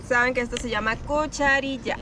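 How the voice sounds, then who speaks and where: A woman's voice: high voice, steady tone, quick pace, pushed and bright, slight background noise. A Venezuelan woman with a Venezuelan accent, speaking from a little way off the camera and playfully correcting a man's Spanish. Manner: playful, teasing. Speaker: companion correcting the host's Spanish.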